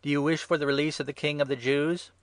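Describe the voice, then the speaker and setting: A man voicing an exasperated Roman governor appealing to a crowd, loud and declamatory, in a dramatised scripture reading. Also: a little high, flat delivery, fast, dry recording, pitched above the performer's own voice, natural voice.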